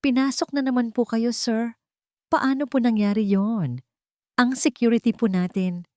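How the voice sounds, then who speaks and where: A woman's voice: on the high side, steady tone, a little slow, a little mellow, dry recording, voice pushed up, close to an everyday voice. Casting: woman to play old male chauffeur in an audio drama, fretful, humble, respectful, close dry recording. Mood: alarmed, worried. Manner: polite, servile, fretful. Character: anxious, deferential elderly male family driver.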